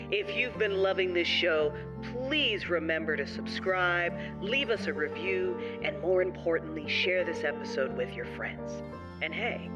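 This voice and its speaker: A woman reading a scripted podcast outro as an announcer, with music behind her. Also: lowish voice. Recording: music bed, slightly distant voice.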